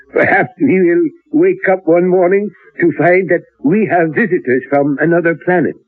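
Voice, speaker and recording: An actor playing an elderly, learned professor in an old-time radio drama, speaking in a deliberate, musing way with theatrical hesitations. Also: male voice, high-pitched, slightly rough. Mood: musing, excited. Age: older. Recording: old radio recording, thin narrow band, roomy.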